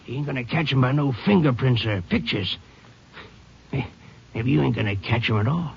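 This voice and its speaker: Gravelly, grumpy country night watchman. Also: mid-range voice, moderate pace. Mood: grumbling. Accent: rural Southern US. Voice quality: gravelly, raspy. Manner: drawling, cranky, doubtful. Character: grumpy, folksy small-town night watchman, skeptical. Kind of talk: 1950s radio drama acting. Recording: muffled old radio recording.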